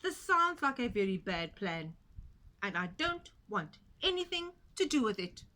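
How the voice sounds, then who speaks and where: Sulky storybook cow, flat and unhelpful, mooing at the ends, from a woman reader. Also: mid-range voice, unhurried. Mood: dismissive. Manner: blunt, dismissive, ends with a moo.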